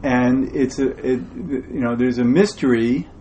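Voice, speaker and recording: A man hosting an interview, speaking in measured, hesitant phrases with pauses. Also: mid-range voice, dark tone, slight background noise, rough recording. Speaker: interview host. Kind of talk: conversational interview.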